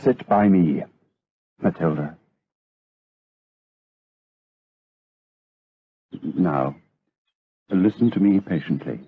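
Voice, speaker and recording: Gothic drama casting: tormented, pious monk, deep and husky, grave and pleading, muffled radio sound. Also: male voice, no echo, exaggerated voice. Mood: grave, resolute. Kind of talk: gothic audio drama acting. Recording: dull lo-fi radio drama recording.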